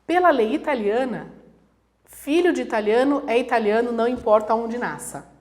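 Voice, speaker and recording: A woman's voice: fairly high pitch, lively intonation, normal speed, a little mellow. A woman from Brazil, a guest professor, explaining things conversationally and acting out examples in quoted voices. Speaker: guest, international relations professor.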